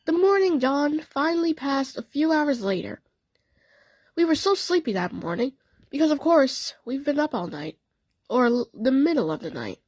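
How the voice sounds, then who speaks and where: A woman narrating a first-person story in a halting way, stumbling and correcting herself as she goes. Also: high-pitched, big pitch swings, darkish tone, no echo. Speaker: narrator of a fan audio story.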